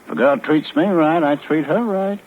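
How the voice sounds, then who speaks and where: A man's voice: on the high side, moderate pace, a little hiss or hum, close to an everyday voice. An actor playing a slick, wry crook in his thirties in a 1950s radio crime drama, talking tough and evasive. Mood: cocky, flirting. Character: slick cocky small-time male crook, mid-thirties, flirting. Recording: muffled 1950s radio recording.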